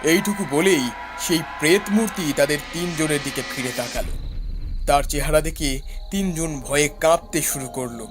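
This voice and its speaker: A man's voice: on the high side, moderate pace, music in the background, close to an everyday voice. A man playing a firm, composed figure in a scripted horror cartoon. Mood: ominous.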